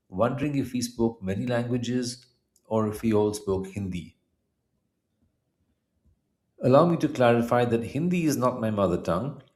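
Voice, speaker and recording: Middle-aged Indian man narrating, measured and formal. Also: medium pitch, darkish tone. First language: Punjabi. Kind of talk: scripted narration of a personal history essay.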